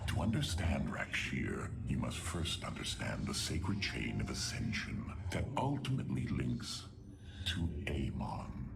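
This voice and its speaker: A man's voice: wildly swooping pitch, measured pace, noisy background, full character voice. A man playing a menacing, imperious alien warlord in a video game, speaking in a haughty, ceremonious growl. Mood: solemn, menacing. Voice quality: very deep, gravelly growl. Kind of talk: theatrical video game dialogue.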